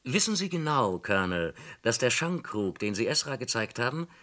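An actor playing a calm, persistent investigator in a German radio crime play, questioning in a measured, polite and insistent way. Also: male voice, medium pitch. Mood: probing.

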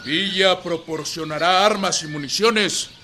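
A man's voice: high-pitched, measured pace, background noise. An actor playing a revolutionary man who emphatically proclaims points in a radio drama. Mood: proclaiming, emphatic.

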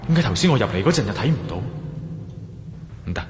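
A man's voice: medium pitch, sing-song, fast talker, a little hiss or hum, natural voice. A man with a Hong Kong Cantonese accent playing a resolute, grimly confident adventurer hero in a radio drama. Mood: astonished. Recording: echoey hall reverb, music behind.

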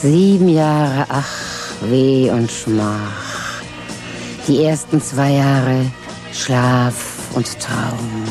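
A woman's voice: rumbling low, extremely slow, over music, full character voice. A woman in a radio drama speaking in a dry, matter-of-fact way, later declaiming a strange incantation. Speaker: radio drama lead character.

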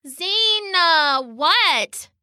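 A woman's voice: a little high, very animated, slow pace, bright, forceful tone, no room sound, clean studio sound, pitched above the performer's own voice, full character voice. A woman voicing a flustered, impatient older sister in a children's story, sputtering and indignant.

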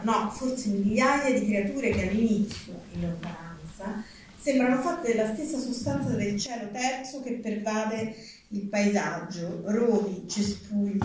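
A woman giving a solemn, deliberate reading of literary prose on stage at a public event. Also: mid-range voice, a little mellow. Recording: distant, very echoey, noisy live PA.

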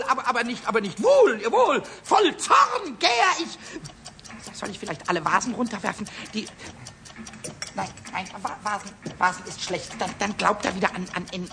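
A man voicing a cheeky, hot-tempered, childlike little kobold in a children's radio play, squeaky, stuttering and repeating words. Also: gravelly, full character voice. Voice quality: shrill, squeaky. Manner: stuttering excited outbursts, repeats words, cackles. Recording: noisy old radio play recording.

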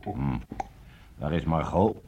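An actor playing an earnest, unsettled army captain in a radio play, husky and easily awed. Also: male voice, a bass voice, expressive, slow pace, dark tone, slight background noise, full character voice. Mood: concerned. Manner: earnest, dramatic.

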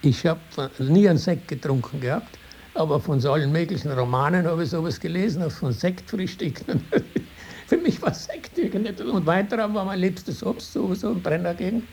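An older man with a Tyrolean Austrian accent, recalling his life in spontaneous, halting, rambling talk. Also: high-pitched, expressive, a little mellow. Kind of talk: spontaneous oral reminiscence. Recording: hissy archival interview tape.

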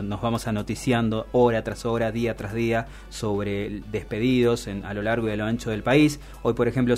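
A man with an Argentine accent hosting a radio show, commenting on the news in a halting, emphatic way with self-corrections. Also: mid-range voice. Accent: Argentine Spanish. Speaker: radio host. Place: radio studio.

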